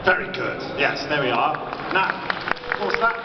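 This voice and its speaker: A man's voice: fairly high pitch, animated, unhurried, a loud crowd, heavy background noise. A man informally hosting and directing a live audio drama demonstration. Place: large convention hall. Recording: distant, muffled, echoey hall. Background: music and sound effects.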